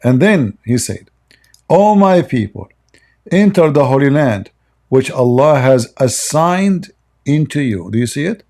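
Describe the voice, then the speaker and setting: A man's voice: mid-range voice, big pitch swings, a little slow, mellow. A man hosting a live online debate, talking emphatically with many fillers and repeated phrases. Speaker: debate host.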